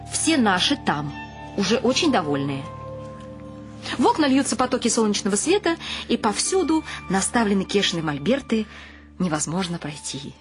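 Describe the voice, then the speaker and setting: A woman's voice: medium pitch, lightly acted. A woman acting and narrating in a radio play as a whimsical, wry middle-aged wife and mother. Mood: excited, bargaining. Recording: studio radio drama with music behind.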